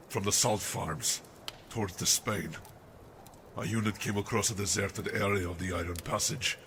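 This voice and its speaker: A man playing a haunted, fatherly older army captain in a dramatic radio play, speaking gravely and deliberately over noisy ambience. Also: low-pitched. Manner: grave, deliberate storytelling, calls listener "laddie". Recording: noisy amateur audio drama with outdoor ambience.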